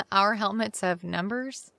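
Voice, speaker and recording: A woman's voice: medium pitch, slow, creaky, a bit bright, dry recording. An actress playing an amazed, curious young woman visiting a sci-fi city in an audio drama. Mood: hesitant, friendly. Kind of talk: full-cast audio drama acting.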